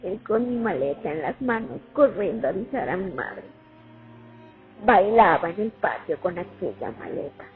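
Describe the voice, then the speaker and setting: A woman playing a war veteran who recalls her years at the front in an expressive radio play monologue. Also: mid-range voice, moderate pace, lightly acted. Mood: nostalgic, moved. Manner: reminiscing, expressive, dramatic. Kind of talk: radio play monologue, first-person testimony. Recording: tinny phone-like sound over music. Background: music.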